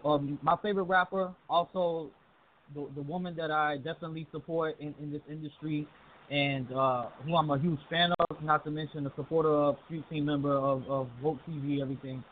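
A man from the southern US calling into a talk radio show, relaxed and full of fillers like "uh" and "like". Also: fairly high pitch, fairly quick. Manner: laid-back, many fillers. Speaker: caller. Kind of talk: call-in talk radio chat. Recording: phone-line audio with faint hiss.

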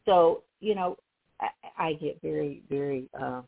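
A woman talks as a radio guest, excitable and emphatic, often tripping over her starts. Her voice is husky in a middle register. It comes over a thin, phone-like line. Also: sits low, a little slow. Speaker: guest activist. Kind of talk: casual political talk. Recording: phone-like narrow band.

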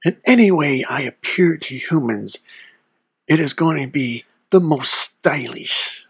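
A performer voicing a sly, haughty lord of darkness in a comedy interview, with pompous, deliberate, theatrical delivery. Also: mid-range voice, lively intonation, breathy edge, dry recording, exaggerated voice. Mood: haughty, menacing. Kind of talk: mock interview in character, audio comedy.

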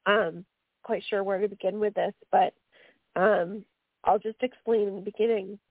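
A Canadian woman talks earnestly and at a wandering pace, full of ums and restarts, as a radio co-host. Her voice is gravelly and a little husky. It comes through a dry, tinny phone line. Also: medium pitch, fairly even intonation, normal speed, no room sound. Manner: meandering, earnest, frequent fillers. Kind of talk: casual radio talk.

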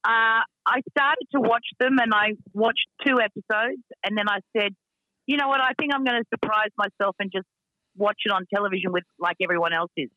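A woman with a deep voice who owns a racing team, talking frankly with lots of fillers in a radio interview. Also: fairly quick, dry recording, highs cut off. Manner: frank, chatty, many fillers.

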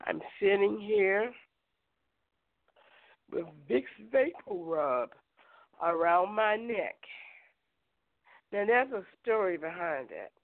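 A middle-aged woman hosting a prayer show, hoarse from a cold, chatting with listeners in a folksy, direct way. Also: mid-range voice, sing-song, slow, pauses often. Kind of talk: casual prayer show chat. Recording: tinny phone line, dry.

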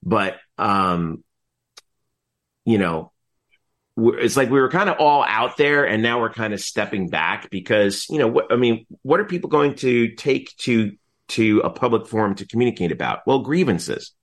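Middle aged guy, lowish voice, casual interview talk, rambles and keeps cutting himself off to explain stuff. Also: normal speed. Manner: rambling, animated explaining with frequent self-interruptions. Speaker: interview guest, announcer and teacher.

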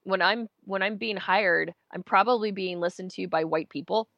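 A woman voice actor from the United States, chatting casually in an interview with quick, self-mocking asides. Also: mid-range voice, gravelly, dry recording, dull audio. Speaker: voice actor guest.

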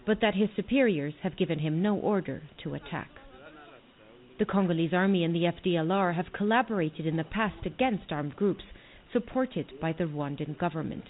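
A woman correspondent reading a scripted news report in a measured, formal way. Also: lowish voice. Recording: tinny phone-like playback.

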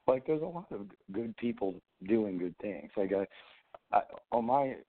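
A man's voice: on the low side, lively intonation, normal speed. A man calling in to a talk show with a sore, froggy throat, rambling with many restarts. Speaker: caller, fellow show host. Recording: tinny phone line.

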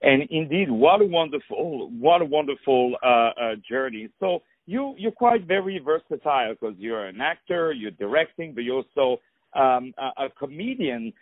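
A man's voice: mid-range voice, animated, normal speed, no room sound. A male radio host welcoming and interviewing a guest, effusive and repetitive, full of uh fillers. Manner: effusive, repeats words, many uh fillers. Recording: dull lo-fi radio sound with faint music.

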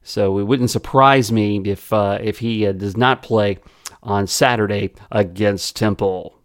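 A man hosting a sports podcast, his voice a little raspy, chatting with frequent pauses and fillers. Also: on the low side, fairly even intonation, no room sound. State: slightly raspy voice.

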